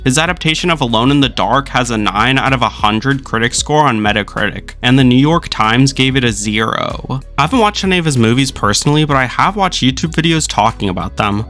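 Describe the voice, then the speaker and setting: A man with a bright, forward voice and a middle-range pitch narrates a scripted video essay. He sounds like an online host giving informal, opinionated commentary.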